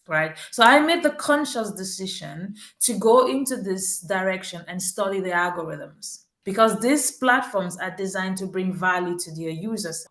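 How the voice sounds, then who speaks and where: A woman's voice: lowish voice, fairly bright tone, echoey room. A woman from Cameroon, a marketing executive answering interview questions earnestly, often rephrasing herself mid-sentence.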